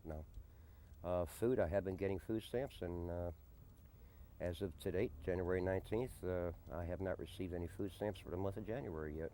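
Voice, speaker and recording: A man being interviewed in a conversational documentary, speaking deliberately and often repeating a question back before answering. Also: sits low, warm tone. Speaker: interviewee, desert camp resident and odd-job handyman. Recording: noisy early-90s amateur documentary tape.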